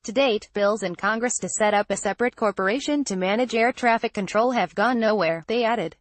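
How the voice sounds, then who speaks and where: A woman narrates a news article from a script. Her delivery is formal and literal, at a middle pitch, with a very dry, close sound free of room echo. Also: a little fast. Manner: reads formally, word for word.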